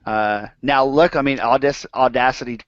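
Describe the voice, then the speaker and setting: An easygoing man hosting a podcast, talking casually and pausing on fillers. His voice sits at a middle pitch. The sound is dry and dull, like a lo-fi recording. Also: steady tone, dry recording. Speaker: podcast host. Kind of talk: casual chat.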